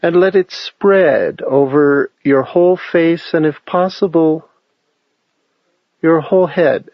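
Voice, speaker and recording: Male meditation guide, slow and measured delivery, calm instruction, dry and slightly muffled sound. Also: fairly high pitch, no echo. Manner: unhurried, measured phrasing with long pauses.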